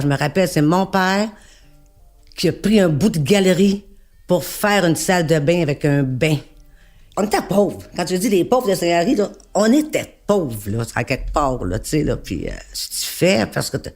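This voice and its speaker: A woman's voice: low voice, darkish tone. A woman in her seventies with a Québécois accent, reminiscing casually in a folksy way and often restarting her words. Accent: Québécois French. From Montreal, Quebec. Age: 70s. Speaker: interviewee.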